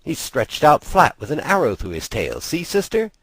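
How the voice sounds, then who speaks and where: A man's voice: a little high, wildly swooping pitch, moderate pace, a bit bright, breathy edge, voice pushed up, exaggerated voice. A man voicing an eager, knowing young boy who explains things in a storybook. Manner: keen, knowing, emphatic. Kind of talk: storybook character voice.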